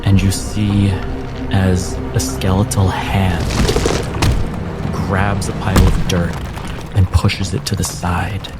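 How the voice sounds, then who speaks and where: A man narrating a tabletop game as game master, with deliberate phrasing and dramatic pauses. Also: low voice, little pitch movement, loud music behind the voice.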